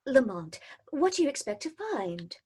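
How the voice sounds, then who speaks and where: A woman's voice: high-pitched, big pitch swings, moderate pace, darkish tone, breathy edge, heavily acted. A woman acting an anxious, inquisitive sleuth's companion in an old-time radio mystery. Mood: uneasy, shocked. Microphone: video-call microphone.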